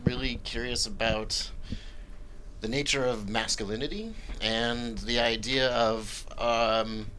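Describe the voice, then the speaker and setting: A man speaking haltingly as a writer guest in an unscripted studio interview. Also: medium pitch, steady tone, pushed and bright, slight background noise, poor audio. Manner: halting, hesitant. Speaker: screenwriter guest.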